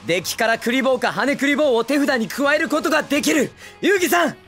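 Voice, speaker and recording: A man voicing a brash, excitable teenage boy hero in an anime film, with cocky, eager, boyish speech. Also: extremely high pitch, fast, soft background music. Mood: fired up. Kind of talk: Japanese anime film acting.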